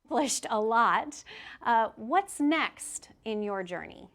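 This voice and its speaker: Upbeat host, high-voiced woman. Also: lively intonation, slow, a bit bright. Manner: upbeat and encouraging. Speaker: event host. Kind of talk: hosting an interview.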